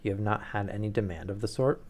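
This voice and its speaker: A man voicing a calm, polite male detective who speaks in a bland, measured way. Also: fairly low pitch, a little hiss or hum, close to an everyday voice. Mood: bland, probing.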